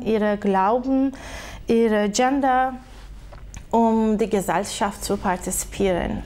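A woman's voice: a little high, a little mellow. A middle-aged woman from Turkey, speaking German with a Turkish accent as a social sciences professor, hesitant, with many fillers and restarts. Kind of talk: interview statement.

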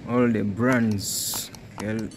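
Male vlogger, low-pitched, very slow delivery, phone-filmed mall tour with steady background noise. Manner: slow, naming brands one by one. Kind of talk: walking tour vlog narration. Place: large indoor shopping mall.

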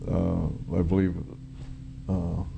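Very deep, gravelly American man. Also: monotone, takes it slowly, highs cut off, noisy background. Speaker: witness, former Air Force air traffic controller. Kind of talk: recounting personal testimony in an interview. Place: small indoor room, seated on camera. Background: background music.

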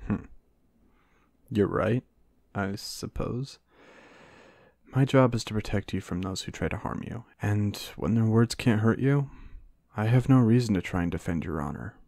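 A devoted, earnest knight, played by a man in a romantic fantasy audio roleplay. His voice is fairly low and recorded dry, without room sound. He speaks to his queen in formal, courtly phrases. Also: lively intonation, unhurried, vocal fry, long pauses, a little mellow, no echo, exaggerated voice. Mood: fond, earnest.

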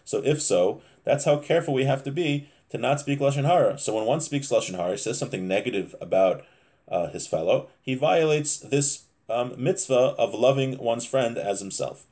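A man's voice: medium pitch, lively intonation, normal speed, darkish tone. A man in his thirties giving an informal religious lesson, reading Hebrew phrases and explaining them in English, often repeating and rephrasing as he goes. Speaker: religious teacher. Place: small home room, indoors.

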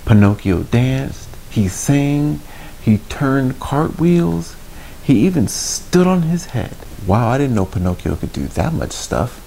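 A man's voice: mid-range voice, big pitch swings, slow, vocal fry, mellow, poor audio. A man in his thirties reading a picture book expressively to children, with asides to the listeners. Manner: slips in asides and questions to young listeners. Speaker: children's story reader, host. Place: small indoor room. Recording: noticeable background noise.

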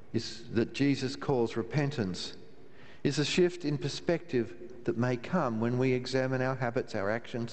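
An older man, a minister, giving a measured sermon from the pulpit of a large church, with a wry touch and slow, emphatic pauses. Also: medium pitch, a little mellow. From Australia. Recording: long church reverb, faint room hiss.

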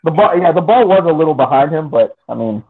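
A man in a casual sports chat on a video call, speaking in a halting, deliberate way. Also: on the high side, lively intonation, slightly rough. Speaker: podcast panelist. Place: indoor room, remote video call. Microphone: video call microphone. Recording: dull lo-fi call audio.

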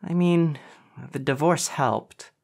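A man voicing an earnest, expressive and caring teenage girl in audiobook dialogue. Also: on the high side, slow, creaky, pitched above the performer's own voice. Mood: concerned.

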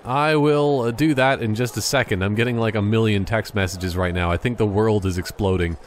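A man's voice: on the low side, brisk, a little hiss or hum. A man giving casual, joking gameplay commentary, rambling in a silly, mock-serious way.

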